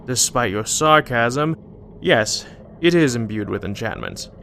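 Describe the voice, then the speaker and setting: A guarded man of action played by an actor, very gravelly and creaky at a middling pitch. He is dry and matter-of-fact, an audio drama fighter, with faint noise behind him. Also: animated, unhurried, fairly bright tone. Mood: deadpan. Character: dry, guarded male monster-fighting companion.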